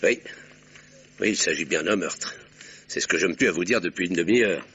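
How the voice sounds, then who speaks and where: An actor in an old radio drama playing a shrewd doctor who investigates crimes, calm and ironic as he explains his deductions. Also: low-pitched, a little fast, a little hiss or hum. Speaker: amateur detective doctor. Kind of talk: 1960s French radio drama acting. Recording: dull old radio broadcast recording.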